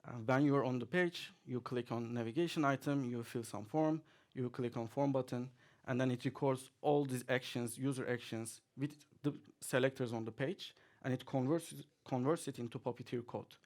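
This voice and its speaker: A man's voice: mid-range voice, moderate pace, hardly any pauses, a little mellow. A man giving a chatty conference talk to an audience, with many fillers and the odd chuckle. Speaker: conference speaker. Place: conference hall, audience present.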